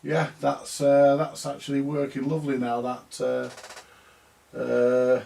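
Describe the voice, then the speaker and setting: A man's voice: medium pitch, completely flat delivery, takes it slowly, gravelly, warm tone. A man chatting casually and colloquially, rambling along as he hosts a repair video. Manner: colloquial, chatty, tag questions. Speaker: vintage radio repairer, video host. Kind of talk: casual rambling vlog chat.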